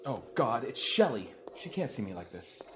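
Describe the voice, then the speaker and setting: A man's voice: medium pitch, sing-song, normal speed, noisy background, close to an everyday voice. An actor playing a panicky, self-deprecating, lovesick man of about thirty in an audio drama. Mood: nervous, flustered. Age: 30s.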